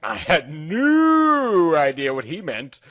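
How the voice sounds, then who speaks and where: A man's voice: on the high side, huge pitch swings, slow pace, fairly bright tone. A man reading a first-person story aloud in a theatrical, emphatic narrating style.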